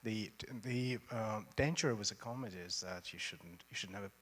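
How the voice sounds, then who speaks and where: A man's voice: fairly low pitch, moderate pace, fairly bright tone. A man from Russia with a Russian accent, a choreographer talking onstage, hesitant and full of fillers and restarts. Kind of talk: onstage conversation.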